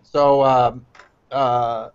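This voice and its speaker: A man hosting and moderating a course video hangout, brisk and upbeat as he calls on participants. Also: medium pitch, little pitch movement, smooth. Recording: dull lo-fi video call audio.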